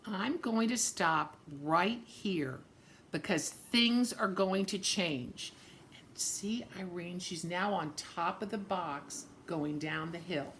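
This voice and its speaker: A woman reading a children's story aloud in an expressive, dramatic way, with chatty asides spoken directly to young listeners. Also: fairly low pitch, slow, a little hiss or hum. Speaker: story-time reader for children.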